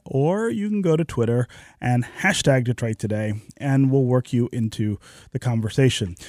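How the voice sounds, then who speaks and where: A man hosting a public radio talk show, his voice hoarse and stuffy from a cold, speaking in a measured, conversational way. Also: medium pitch, mellow, no echo, studio quality. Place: radio studio. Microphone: studio microphone.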